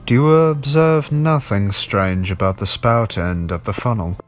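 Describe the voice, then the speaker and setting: A man reads as a learned gentleman collector in a gothic storybook tale. He lectures in a pedantic, knowing way, lowish and very unhurried, over faint music. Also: lightly acted. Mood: knowing, probing.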